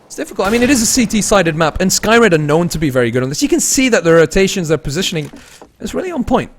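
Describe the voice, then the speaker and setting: A man commentating solo on a live game stream, mixing play-calling with casual chat to viewers and shifting between excited and low-key delivery. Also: fast. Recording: noisy with game gunfire and clicks. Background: game gunfire and clicks.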